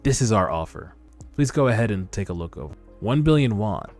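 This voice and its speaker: A man voicing a polite, businesslike corporate recruiter in a measured, formal way for a webtoon read-aloud. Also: fairly low pitch, expressive, darkish tone. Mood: measured, courteous. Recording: background music under voice.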